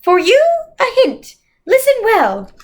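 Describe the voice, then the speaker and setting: A woman's voice: very high-pitched, wildly swooping pitch, slow, voice pushed up, heavily acted. A woman voicing an otherworldly female being in a storybook, reciting cryptic lines in a lofty, theatrical way. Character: otherworldly female being quoting riddling verse, solemn and theatrical.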